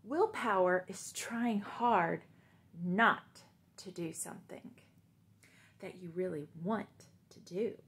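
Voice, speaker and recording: A woman's voice: lowish voice, takes it slowly. A woman voicing a fussy, sensible male frog from a children's storybook who earnestly lectures his friend.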